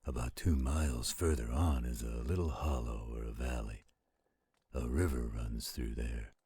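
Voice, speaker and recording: A man playing a gentle, protective werewolf in a roleplay audio, telling bedtime stories in a slow, intimate, caring way. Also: steady tone, exaggerated voice. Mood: soothing, storytelling. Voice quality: very deep, husky, gravelly growl. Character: gentle protective male werewolf, soothing storyteller to his partner.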